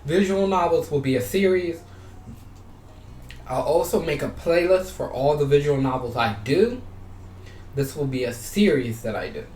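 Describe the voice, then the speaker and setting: A man's voice: on the high side, normal speed, pauses often. A man chatting casually as a gaming YouTuber, rambling and repeating his phrases, sometimes reading game text aloud. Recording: roomy, distant mic, steady background noise.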